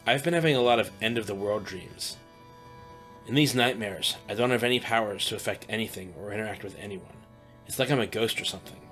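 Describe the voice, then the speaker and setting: A man's voice: fairly low pitch, brisk, a bit bright, lightly acted. A man voicing a young male protector who is a worried, hesitant brother, with faint music behind. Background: faint background music.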